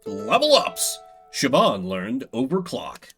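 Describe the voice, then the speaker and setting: A man doing casual live gameplay commentary as a streamer, thinking out loud in an analytical way. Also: medium pitch, wildly swooping pitch, takes it slowly, faint music behind. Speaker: game streamer.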